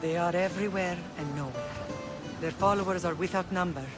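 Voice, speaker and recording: An actress playing a commanding, protective middle-aged Spartan mother and leader in a video game, speaking in a measured, formal, authoritative way. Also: lowish voice, over music, close to an everyday voice. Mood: solemn, caring. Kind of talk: dramatic video game acting.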